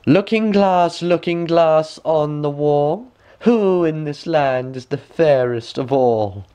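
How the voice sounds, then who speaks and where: A man voicing a wicked, vain old queen who coaxes slyly in a theatrical, drawn-out way for a storybook. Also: fairly high pitch, dark tone, clean tone, voice pushed up. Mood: vain, demanding.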